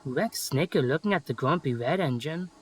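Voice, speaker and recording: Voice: medium pitch, lively intonation, moderate pace, slightly rough. A narrator reading a scripted children's story, with music playing behind.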